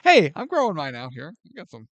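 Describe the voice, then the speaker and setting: A man co-hosting a relaxed film review podcast, animated and full of jokes, swinging his voice widely up and down. His pitch sits a little high for a man. The sound is dry and close, with no room around it. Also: a little fast, dry recording. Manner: animated and jokey, slips into mock voices. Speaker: podcast co-host. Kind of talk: casual film review chat.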